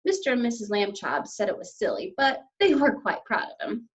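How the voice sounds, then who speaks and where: Animated, high-voiced woman teacher reading aloud. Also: fairly quick. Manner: expressive, explanatory, addressing children. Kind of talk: children's read-aloud with explanations. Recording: slightly dull, lo-fi sound.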